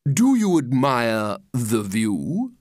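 A man voicing a pompous, greedy walrus from a storybook poem, talking in a slow, grandiose way with false sympathy. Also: mid-range voice, huge pitch swings, darkish tone, no room sound, studio quality, exaggerated voice. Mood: smugly genial. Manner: slow, drawn-out, grandiose delivery. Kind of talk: storybook verse character reading.

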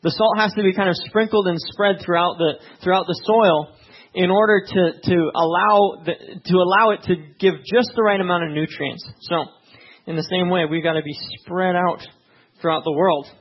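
A male pastor who grew up in Tanzania, opening with a soft, hushed prayer and then preaching in a conversational way, often checking in with "right?". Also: sits high, steady tone, moderate pace, muffled recording.

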